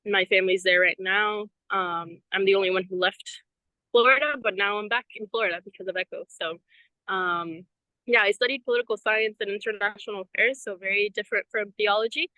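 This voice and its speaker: A young woman from Venezuela talks casually as an interview guest, her voice bright and clear. She rambles in a friendly way and says um and like often. The sound is dry and close. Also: medium pitch, fairly even intonation, brisk, no echo, low-quality recording. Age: 20s. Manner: chatty, friendly, many ums and likes. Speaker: guest, ministry program member. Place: outdoors in front of a cathedral.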